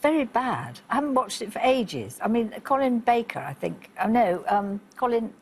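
A woman's voice: fairly high pitch, very animated, normal speed, a little hiss or hum. An older woman on a quiz team in a TV studio, talking in a flustered, self-mocking way.

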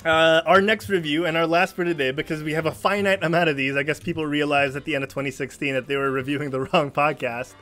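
High-voiced man hosting a video-call podcast. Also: fairly even intonation, fast talker, few pauses, a bit bright, soft background music. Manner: playful, theatrical announcing. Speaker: podcast host. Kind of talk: casual podcast chat, reading reviews.

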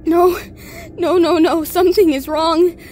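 A woman's voice: very high voice, steady tone, unhurried, darkish tone, soft background music. A young woman voicing a frightened friend exploring a haunted asylum, nervous and stammering. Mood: panicked. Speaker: voice actor. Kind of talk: audio drama acting.

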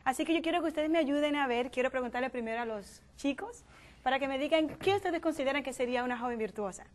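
A young woman from the Dominican Republic hosting a youth talk show in a TV studio, lively and quick to laugh. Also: high voice. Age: young adult. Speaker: host. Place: forest-themed TV studio set. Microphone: lapel mic.

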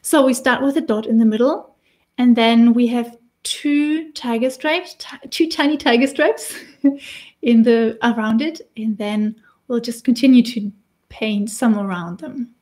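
German-accented woman in her thirties, warm and slightly high, relaxed chatty live-stream teacher. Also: measured pace. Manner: chatty, laughs often, restarts while painting. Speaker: face painting tutor. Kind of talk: casual live-stream tutorial. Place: small indoor room with decorated wall.

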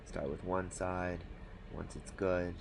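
A man calmly explaining a fishing knot step by step at a desk indoors. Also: sits low, creaky, background noise. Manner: calm, matter-of-fact, unhurried. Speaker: fly fishing tutorial host. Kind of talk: step-by-step instructional talk. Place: small indoor room at a desk.

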